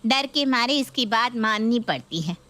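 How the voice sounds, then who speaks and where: A woman's voice: fairly high pitch, lively intonation, moderate pace, pushed and bright. A woman playing a middle-aged mother-in-law who is fawning, anxious and nagging, in a dramatised story. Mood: anxious, complaining. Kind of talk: dramatised Hindi story video.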